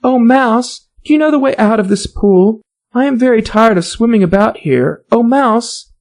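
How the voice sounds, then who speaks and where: A man's voice: very high voice, lively intonation, normal speed, a little mellow, voice pushed up. A man voicing a polite, chatty, easily flustered little girl in a storybook, earnest and wordy. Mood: curious, hopeful. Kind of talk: storybook character voice.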